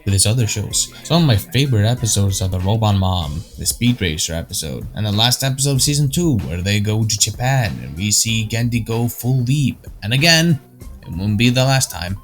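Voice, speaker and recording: A man's voice: fairly low pitch, expressive, a little fast, soft background music. A young man whose first language is Icelandic, reading scripted commentary in a blunt, opinionated way with casual swearing. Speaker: cartoon retrospective host.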